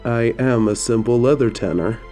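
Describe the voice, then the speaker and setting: A man playing a gentle, apologetic old-time leather tanner in an audio drama, tender and soothing with old-fashioned phrasing. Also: mid-range voice, moderate pace, faint music behind, natural voice. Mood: soothing, affectionate. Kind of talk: romantic audio drama acting.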